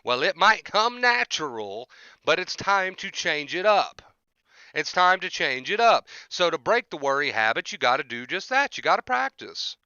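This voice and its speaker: Forceful, bright-voiced man on a livestream. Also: a little high, big pitch swings, moderate pace, clean tone, dry recording, highs cut off. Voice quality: voice tiring from overuse. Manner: emphatic, repetitive, often checks with "right?". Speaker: livestream host, anxiety recovery coach. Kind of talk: motivational rant to live chat.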